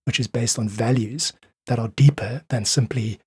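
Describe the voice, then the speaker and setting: A man's voice: medium pitch, fairly even intonation, normal speed, a little mellow, husky, dry recording. A South African man speaking earnestly and articulately as an interview guest, explaining his ideas in conversation. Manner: earnest, articulate, uses analogies. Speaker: podcast guest, independent musician.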